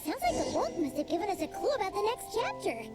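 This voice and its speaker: A woman voicing a tiny, childlike floating fairy companion in a video game, squeaky, chirpy and eager. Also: very animated, fast talker, loud music behind the voice. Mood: bright, eager. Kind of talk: video game character acting.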